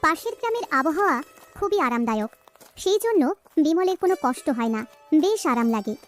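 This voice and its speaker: Very high-voiced woman telling stories. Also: big pitch swings, fairly quick, soft background music. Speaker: narrator. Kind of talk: scripted children's story narration.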